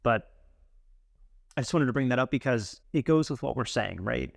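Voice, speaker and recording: A man's voice: mid-range voice, expressive, normal speed, vocal fry, no room sound, studio quality. An American man chatting casually as a podcast co-host, in a rambling conversational way. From United States.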